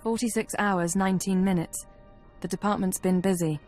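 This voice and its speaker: An actress plays an analytical intelligence officer in a sci-fi game. She has a medium-pitched voice and reports briskly and clinically, with exact detail. There is faint music in the background. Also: steady tone, darkish tone, lightly acted. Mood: matter-of-fact. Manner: precise, clinical, matter-of-fact. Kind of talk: video game cutscene acting.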